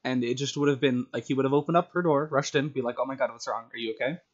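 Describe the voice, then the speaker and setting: A man's voice: medium pitch, fast. Dull lo-fi man chatting through stories. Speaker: storyteller and tabletop game player. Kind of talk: casual chat recounting story ideas. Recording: dull, lo-fi sound.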